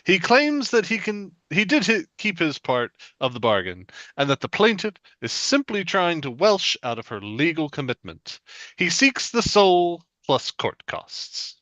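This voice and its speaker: Solemn court show announcer for a sketch, male performer, formal, forceful tone, higher than average male pitch. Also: big pitch swings, a little slow, no echo, heavily acted. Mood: formal, dramatic. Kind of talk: scripted TV announcement, read aloud.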